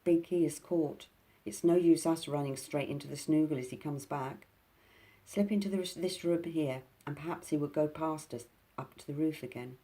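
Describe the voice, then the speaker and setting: A woman voicing a calm, sensible young boy in a storybook reading. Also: low-pitched, moderate pace, lightly acted. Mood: urgent.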